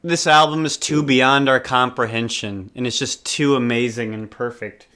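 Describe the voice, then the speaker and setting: A man's voice: medium pitch, fairly even intonation, normal speed, pushed and bright, clear, resonant tone. A man chatting casually about an album, joking and rambling, often trailing off mid-sentence. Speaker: podcast co-host.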